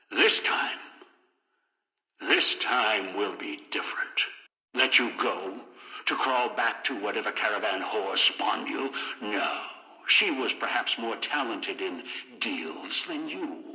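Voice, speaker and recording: An older actor playing a cold, paranoid, scheming old man in a video game, with deliberate, halting, contemptuous delivery. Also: lowish voice, sharp tone, reverberant, big-room sound, full character voice, rough recording. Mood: measured, scheming. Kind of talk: dramatic video game voice acting.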